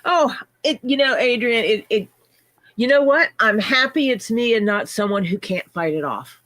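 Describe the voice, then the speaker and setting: A woman's voice: fairly high pitch, lively intonation, moderate pace, fairly bright tone, no room sound. Woman host, scratchy sick voice, chatty livestream talk, dry close mic. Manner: chatty, greets viewers by name mid-story. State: scratchy voice from illness. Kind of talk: casual livestream chat.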